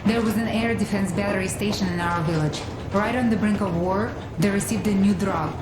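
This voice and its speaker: A practical female medic played by a woman in a video game drama. She reasons calmly and precisely at a middle pitch, in a roomy space with noticeable ambient noise. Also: brisk, natural voice. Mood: calm, reasoning. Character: level-headed, practical female medic, calm and analytical.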